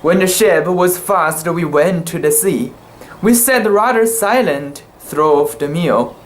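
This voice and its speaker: A man reading a sea story aloud as an amateur, with careful, halting delivery and occasional stumbles, speaking English as a second language. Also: fairly high pitch. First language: not English. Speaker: amateur reader.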